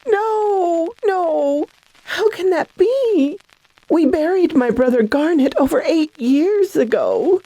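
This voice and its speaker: High-voiced frightened lady acted by a woman. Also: lively intonation, slow pace, mellow, no room sound, exaggerated voice, rough recording. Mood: shocked. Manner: hesitant, breathless, melodramatic. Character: frightened, bewildered, tormented lady, pleading and fragile. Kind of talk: classic radio drama acting.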